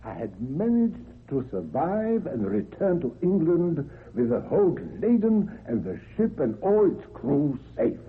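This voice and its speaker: A man's voice: a little high, big pitch swings, unhurried, few pauses, breathy edge, narrow, tinny audio, full character voice. An actor playing an elderly English grandfather, an indulgent seafaring storyteller, in a radio horror drama. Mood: grand reminiscing. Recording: noisy, thin old radio recording.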